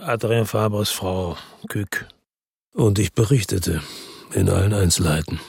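Husky, brooding noir narrator. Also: male voice, sits low, measured pace, a little mellow, no room sound, heavily acted. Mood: guarded, terse. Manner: terse, reflective, understated. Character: weary, brooding, hard-boiled male crime-story narrator. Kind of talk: first-person radio drama narration.

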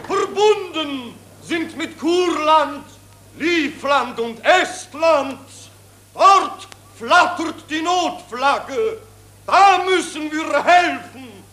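A man's voice: very high voice, animated, very slow, crowd noise, heavily acted. A man acting a solemn expansionist nationalist orator in a chanting declamation from a 1940s radio play. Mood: solemn, strident. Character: solemn expansionist German nationalist orator proclaiming war aims. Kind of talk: 1940s radio drama political speech. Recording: echoey, noisy 1940s radio recording.

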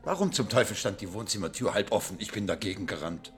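An actor playing a suspicious, controlling husband who questions sharply in a radio play. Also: male voice, on the low side, animated, fast, faint music behind. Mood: accusing. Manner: interrogating, sharp-tongued. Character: suspicious controlling jealous husband, quick to anger.

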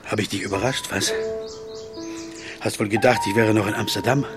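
A man's voice: fairly low pitch, steady tone, moderate pace. A man playing a jazz pianist in a radio drama, earnest and sometimes angry, over background music. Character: jazz pianist, wry and dogged. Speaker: narrator and lead. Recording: music bed behind voice.

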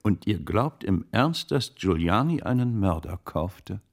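An actor in a German radio drama playing a shrewd, sardonic older magister with dry, commanding, biting delivery. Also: male voice, lowish voice, big pitch swings, unhurried, heavily acted. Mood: probing. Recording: long reverberant hall.

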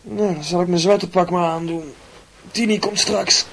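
An actor playing a defensive, hot-tempered young student in a radio drama, with a Netherlands Dutch accent and a husky voice. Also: male voice, high-pitched, steady tone, moderate pace, a little hiss or hum. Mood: groggy, sheepish. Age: young adult.

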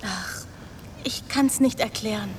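A woman's voice: mid-range voice, measured pace, a little hiss or hum, lightly acted. An actress playing a naive, meek young woman in a radio drama, with an artless, childlike sense of wonder. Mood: meek, resigned.

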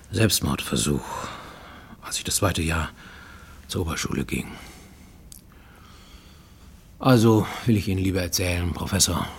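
Grüblerischer, einsamer Mann mit tiefer Stimme. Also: male voice, deep voice, slight background noise. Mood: brooding, confiding. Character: brooding, lonely Japanese man confessing his troubled youth to a professor. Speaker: radio play actor. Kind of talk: dramatic first-person monologue in a radio play. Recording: studio radio play with music underneath.

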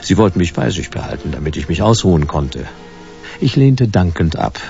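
Gravelly, low-voiced world-weary detective. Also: male voice, very animated, moderate pace, mellow, lo-fi sound, soft background music, full character voice. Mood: laconic narrating. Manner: laconic, dry, sardonic. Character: world-weary, wry hard-boiled male private detective. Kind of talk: German radio play noir acting and first-person narration.

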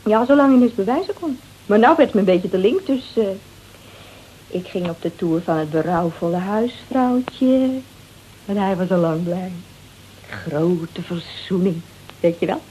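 A woman's voice: medium pitch, unhurried, lo-fi sound, a little hiss or hum, close to an everyday voice. A woman playing a brash, bored, flippant housewife in a Dutch radio drama, slangy and gossipy with a Netherlands accent. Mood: gossipy, scornful. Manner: slangy, gossipy, theatrically expressive.

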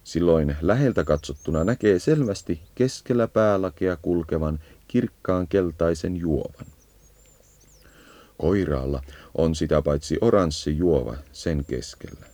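A man giving a measured, instructive scripted narration for an educational nature guide. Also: low voice, warm tone, no room sound.

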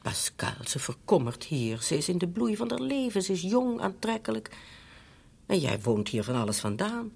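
An elderly bourgeois mother in a radio drama, fretful and meddling. Her voice is husky and creaky and swoops widely as she nags, wheedles and hesitates, anxious about appearances. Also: fairly low pitch, very animated, normal speed, a little mellow, heavily acted. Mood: coaxing, worried. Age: older. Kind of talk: Dutch radio drama acting.

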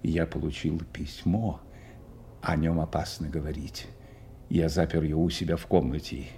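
An older actor playing a loyal, secretive old nobleman, confiding gravely in a theatrical radio drama. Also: rumbling low, steady tone, moderate pace, warm tone, a little hiss or hum. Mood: anxious, confiding.

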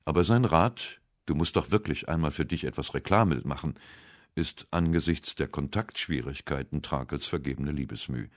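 Very deep-voiced male narrator. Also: moderate pace, dry recording, clean studio sound. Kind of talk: scripted literary radio essay narration. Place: dry radio studio.

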